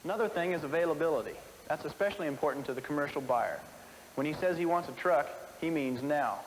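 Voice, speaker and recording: A man's voice: fairly high pitch, fast, in a large hall, slight background noise, rough recording. A man speaking as a salesman, giving a matter-of-fact scripted testimonial on a 1970s film soundtrack.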